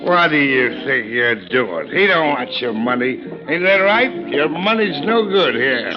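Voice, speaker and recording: An actor playing a loud, pushy, fat drunk in an old radio drama, insistent and repeating himself. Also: male voice, medium pitch, big pitch swings, unhurried. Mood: boozy, pushy. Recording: muffled vintage radio recording, music behind.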